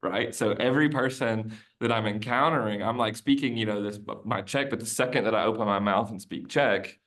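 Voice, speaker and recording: A man's voice: fairly low pitch, fairly quick, a bit bright. A man, a podcast guest, chatting casually and telling a long rambling story, often looping back and restarting his sentences. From United States. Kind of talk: casual conversational interview.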